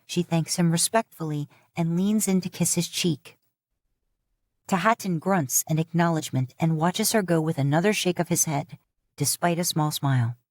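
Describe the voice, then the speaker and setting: A woman reading a fanfiction audiobook in a steady, measured storytelling manner. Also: deep voice, dry recording, studio quality. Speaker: audiobook narrator.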